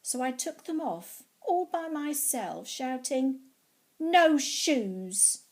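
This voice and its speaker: Female storybook reader: very slow delivery, highly animated pitch, theatrical with hushed dips. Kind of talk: expressive children's story reading.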